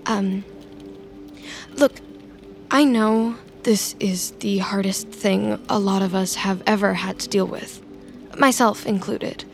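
A woman playing a scheming, sardonic office climber in an audio drama, with dry and deliberate delivery. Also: medium pitch, fairly even intonation, gravelly. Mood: hesitant, earnest. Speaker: protagonist narrating inner thoughts. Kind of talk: audio fiction acting with inner monologue. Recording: music under the voice.

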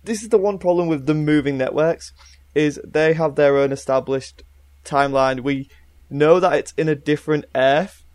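A man's voice: a little high, moderate pace, a little mellow. A young man talking casually and enthusiastically about pop culture as a podcast panellist, with emphatic opinions. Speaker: podcast panellist and film reviewer.

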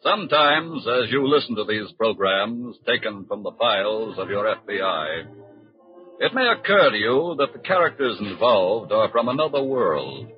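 Grave, animated 1940s male crime narrator. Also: fairly low pitch, faint music behind. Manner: grave, portentous, deliberate emphasis. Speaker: narrator of a radio crime drama. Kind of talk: 1940s radio dramatic narration. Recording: dull vintage 1940s radio broadcast.

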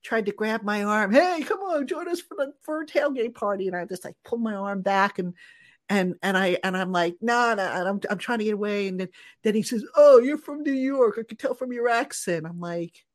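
A woman's voice: medium pitch, brisk. Chatty New Jersey woman hosting a podcast. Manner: chatty storyteller, frequent restarts. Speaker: podcast host. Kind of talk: casual sports podcast chat.

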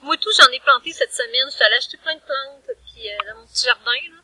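A woman's voice: high-pitched, fast, sharp tone, husky. A woman with a Quebec French accent, co-hosting a casual podcast and telling easygoing stories with hesitant fillers. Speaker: podcast co-host. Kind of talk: casual chat.

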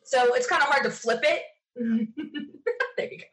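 A woman's voice: fairly high pitch, moderate pace, a bit bright. A middle-aged woman chatting casually as a podcast guest, telling stories in an opinionated, emphatic way. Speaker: podcast guest being interviewed. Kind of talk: casual conversational storytelling.

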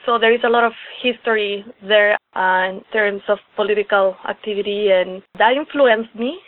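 A woman in her twenties from El Salvador, an activist telling her story slowly and personally in an interview over a call. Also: medium pitch, no echo. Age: 20s. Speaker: guest, activist organizer. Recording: tinny phone line.

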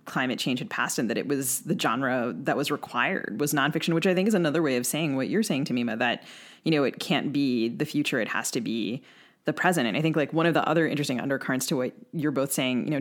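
A woman speaks as co-host of a literary discussion podcast. She is analytical and questioning, thinking aloud in conversational sentences with frequent fillers. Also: very deep voice.

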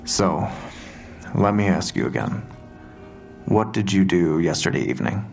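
Audio drama detective: stern, terse interrogator, very low gravelly voice, music underneath. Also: male voice, lively intonation, darkish tone, exaggerated voice. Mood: measured, pressing. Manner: measured, probing, terse questioning. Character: stern male police detective pressing a suspect. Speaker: police interrogator. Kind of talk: full-cast audio drama acting.

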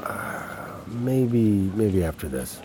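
A man's voice: lowish voice, very animated, very slow, very noisy, full character voice. An actor playing an affable middle-aged father and corporate security chief in a naturalistic audio drama, easygoing and a little hesitant. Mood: awkward, hesitant.

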